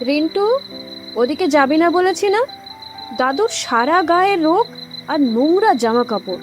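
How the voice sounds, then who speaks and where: A woman's voice: high voice, expressive, measured pace, faint music behind. A woman playing a young mother and cold daughter-in-law in an audio drama. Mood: scolding, disgusted.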